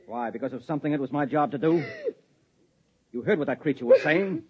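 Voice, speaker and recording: A cold, secretive husband on old radio. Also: male voice, medium pitch, fairly quick, slightly rough. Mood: stern. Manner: calm, lecturing and patronizing. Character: controlled husband hiding a secret, a security man. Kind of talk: 1950s radio drama acting. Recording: dull lo-fi 1950s radio recording.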